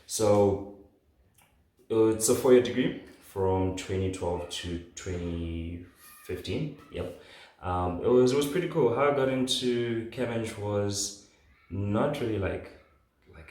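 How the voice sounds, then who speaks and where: Casting: man, late 20s, low-pitched, informal podcast storyteller, recorded in a heavily echoing room. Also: slow pace, darkish tone. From southern Africa, born in Zambia. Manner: informal, conversational, often says "like". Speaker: podcast host. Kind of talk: casual solo podcast storytelling. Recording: very echoey room.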